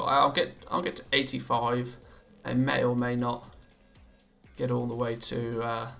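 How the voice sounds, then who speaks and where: A British man chats his way through a live gaming stream, his voice gravelly with fry. The tone is bright, and he keeps stumbling and restarting as he answers his viewers. Faint game music plays behind him. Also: medium pitch. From Britain. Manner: chatty, reads out viewer comments and answers them; often stammers and restarts, laughs easily. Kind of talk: casual live-stream chat while gaming. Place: home.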